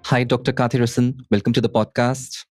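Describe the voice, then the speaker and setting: A man's voice: mid-range voice, level tone, fairly quick, a little mellow, faint music behind. A man in his thirties hosting a podcast, reading a scripted intro and asking interview questions in a studio. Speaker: podcast host. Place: studio with dark wall. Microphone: studio mic with headphones.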